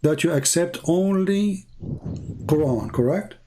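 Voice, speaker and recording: A man's voice: fairly high pitch, animated, slow pace, mellow, a little hiss or hum. A man who is a non-native English speaker hosts an argumentative religious debate, quoting scripture, interrupting and pressing his opponent with pointed questions. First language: not English. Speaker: debate host challenging a caller on religion.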